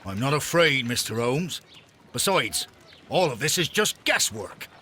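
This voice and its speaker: An actor playing a proud, defiant Victorian sea captain in a video game, formal and blustering in manner. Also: male voice, mid-range voice, very animated, a little slow, sharp tone, slight background noise. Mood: indignant. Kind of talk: video game cutscene acting.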